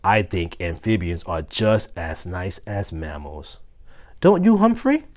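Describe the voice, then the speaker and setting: A man's voice: sits low, sing-song, takes it slowly, a little mellow, full character voice. A man voicing a friendly janitor in a storybook, explaining things in an emphatic, kindly way. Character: friendly mustached janitor, a man studying to teach. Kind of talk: storybook character dialogue.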